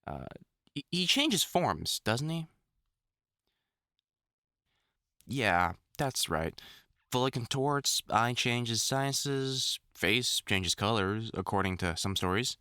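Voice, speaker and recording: A man playing an older, experienced vampire hunter in an intimate audio roleplay, talking to the listener in a halting, wry, earnest way. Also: medium pitch, lively intonation, pushed and bright, no room sound, clean studio sound, exaggerated voice. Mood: thoughtful. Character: gruff, caring older experienced male vampire hunter, mentoring.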